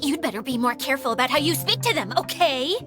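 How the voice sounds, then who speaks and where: A woman voicing a timid, dramatic young mascot who is quick to cry, flustered and melodramatic, in a game cutscene. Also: high voice, animated, over music. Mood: indignant. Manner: flustered, melodramatic, swings between meek and bold.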